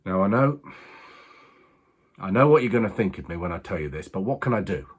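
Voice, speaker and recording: A man performing a working-class betting man in a dramatic monologue. His voice is low and husky, and he talks in a halting, confiding way, full of colloquial turns and pauses, with a rueful air. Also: lively intonation. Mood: sombre, hesitant. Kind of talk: dramatic monologue, conversational storytelling.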